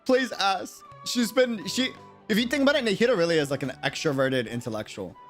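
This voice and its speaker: A man from New York City with an American accent, chatting casually on a game livestream in a rambling, slangy, animated way. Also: high voice, fairly quick, music in the background. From New York City, United States. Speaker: game streamer. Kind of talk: casual livestream chat and reactions.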